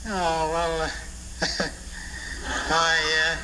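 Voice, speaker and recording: A middle-aged man answering as a radio quiz contestant, bashful and hesitant with stammering pauses. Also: on the high side, monotone, pushed and bright. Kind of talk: unscripted radio interview. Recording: very noisy old radio broadcast recording.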